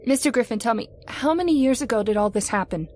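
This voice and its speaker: A woman's voice: a little high, lively intonation, fast, a little mellow, slight background noise, lightly acted. A woman playing a troubled, entranced wife in an old-time radio horror drama, earnest and dramatic. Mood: urgent curiosity. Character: troubled, entranced young wife drawn to the graveyard. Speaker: voice actor.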